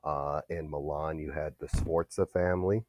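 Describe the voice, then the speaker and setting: Very deep-voiced male history teacher. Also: moderate pace, no echo, clean studio sound. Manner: steady explaining with fillers. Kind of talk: recorded classroom lecture.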